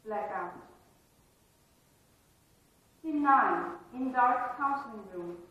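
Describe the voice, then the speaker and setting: A woman's voice: a little high, expressive, takes it slowly, sharp tone. A woman reading stage directions as the narrator of a live dramatic reading, with scripted theatrical delivery. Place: live reading in an echoey performance space. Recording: echoey hall, distant mic, dull and noisy.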